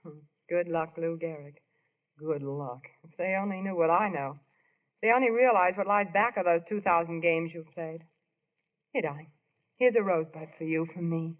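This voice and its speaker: Tinny old-radio actress as a teasing young wife. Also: female voice, lowish voice, normal speed, natural voice. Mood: tender. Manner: playful, wry, affectionate. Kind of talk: 1940s radio drama acting. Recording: tinny, narrow-band old radio recording.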